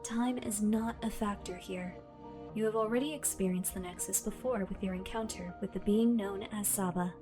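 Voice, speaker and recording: A woman voicing a calm solemn belt AI. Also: mid-range voice, lightly acted. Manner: measured, formal, solemn. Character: calm all-knowing female AI spirit in a belt. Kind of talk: fan audio drama. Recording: music bed underneath.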